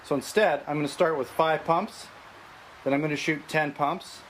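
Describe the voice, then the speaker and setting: A man's voice: fairly high pitch, fairly even intonation, moderate pace. A man from Canada presenting a product test as a video reviewer, explaining each step in order. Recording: noisy video audio with background ambience.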